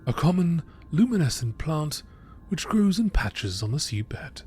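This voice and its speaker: A man reading scripted nature documentary narration in a measured, informative manner. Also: on the high side, sing-song, very noisy. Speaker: narrator reading game lore entries.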